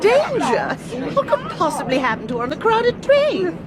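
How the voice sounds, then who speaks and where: A woman's voice: very high-pitched, wildly swooping pitch, moderate pace, heavily acted. An actress playing a prim, sanctimonious, unhelpful lady passenger in a radio drama. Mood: prim, incredulous. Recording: chatter and train noise behind voice.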